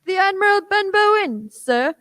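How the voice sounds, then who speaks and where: Female player as high-pitched, lively, meek boy. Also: normal speed, exaggerated voice. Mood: obedient. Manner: meek, deferential. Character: polite, obedient young innkeeper's son. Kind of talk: amateur radio play acting. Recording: noisy recording with clicks.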